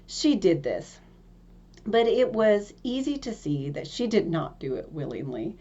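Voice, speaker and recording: A woman's voice: mid-range voice, big pitch swings, a little slow, dark tone, husky, dull audio. A woman narrating a fairy tale from a script as a storyteller.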